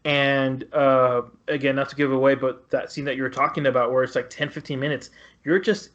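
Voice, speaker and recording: A man's voice: mid-range voice, little pitch movement, brisk, a bit bright, lo-fi sound. A man co-hosting a film podcast, talking casually in a rambling, stop-start way. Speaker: podcast co-host.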